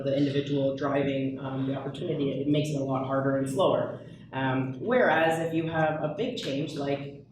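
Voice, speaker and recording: A woman on a conference panel giving a measured answer with examples and fillers. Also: sits low, darkish tone, slight background noise. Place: large echoey event room, seated. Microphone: handheld mic. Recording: echoey room from far off.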